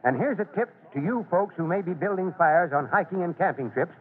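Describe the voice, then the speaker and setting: Steady fire chief on tinny old radio. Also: male voice, high-pitched. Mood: instructive. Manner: measured, reassuring, authoritative. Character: steady, fatherly middle-aged fire chief. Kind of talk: 1940s radio drama acting. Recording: tinny, band-limited old radio recording.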